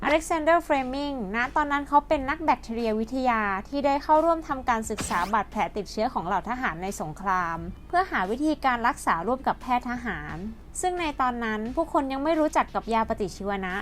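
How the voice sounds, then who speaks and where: A woman with a fairly high voice presents scripted educational narration. She explains things politely and speaks to the viewers as their host. There is noise behind her voice. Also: expressive, fast talker, few pauses.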